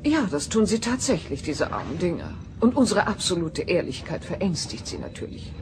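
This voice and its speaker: A woman's voice: lowish voice, huge pitch swings, normal speed, a little hiss or hum. An actress playing a haughty, meddling middle-aged alien mother in a sci-fi audio drama, theatrical and condescending. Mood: imperious.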